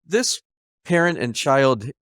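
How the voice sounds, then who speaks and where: A man's voice: mid-range voice, lively intonation, slow pace, fairly bright tone, dry recording, studio quality. A man hosting a conversational interview, speaking hesitantly as he searches for his words. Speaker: interviewer, host.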